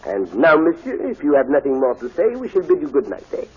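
A courteous Belgian detective, tinny old radio. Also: male voice, fairly high pitch, huge pitch swings, fairly quick, background noise. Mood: wry, courteous. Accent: French-speaking Belgian. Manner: formal, precise, polite. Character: courteous, proud Belgian detective, middle-aged man. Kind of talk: 1940s radio mystery acting. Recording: muffled, narrow-band old radio recording.

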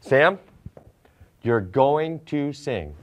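An American man in his forties teaching English in a deliberate, enunciated way with simple scripted sentences. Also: medium pitch, expressive. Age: 40s. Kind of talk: slow scripted language lesson with simple modelled sentences. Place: small classroom set, indoors.

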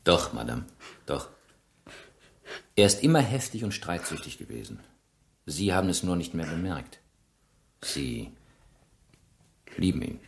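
Low-voiced, sardonic police inspector. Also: male voice, very animated, unhurried, creaky, long pauses, exaggerated voice. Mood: firm, accusing. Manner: probing, ironic, insistent interrogator. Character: shrewd, relentless male French police inspector, sardonic and probing. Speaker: actor in a radio play. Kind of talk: German radio crime drama acting.

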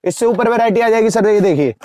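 A young man in a crowded cosmetics shop, rattling off wholesale prices per dozen and per piece in a rapid sales patter. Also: high voice, warm tone. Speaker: wholesale shopkeeper. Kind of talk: sales pitch to camera.

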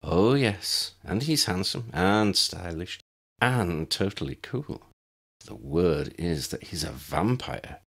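A man's voice: low-pitched, animated, takes it slowly, gravelly, fairly bright tone, breathy edge, exaggerated voice. A man voicing a breezy, worldly teenage girl at a wizard school in a storybook, dreamy and knowing. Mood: dreamy, gossipy.

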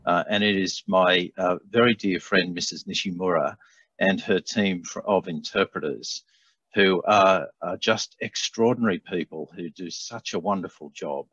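Older male moderator, gracious closing thanks, husky low voice, muffled dry call line. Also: moderate pace, a bit bright, dry recording. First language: English. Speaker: panel moderator. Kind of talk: closing remarks on a video call. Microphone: headset on video call. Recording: dull, dry video-call audio.

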